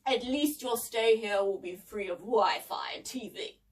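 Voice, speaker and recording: A woman's voice: mid-range voice, measured pace, distant mic, natural voice, low-quality recording. A woman voicing an old-fashioned uncle in a children's story, formal and disdainful in manner. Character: old-fashioned, grumbling uncle who scorns modern technology.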